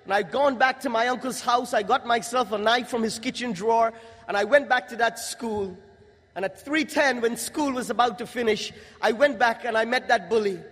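A young man from Guyana giving a religious testimony to an audience, in a measured, emphatic delivery with pauses. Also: very high voice, fairly bright tone, husky. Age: young adult. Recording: long hall reverb.

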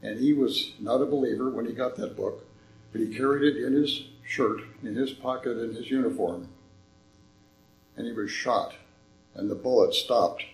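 An older man speaking in a church, making an earnest, folksy appeal with a slightly hoarse voice. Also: mid-range voice, moderate pace, echoey room, a little hiss or hum, poor audio. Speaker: visiting ministry speaker. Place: church sanctuary.

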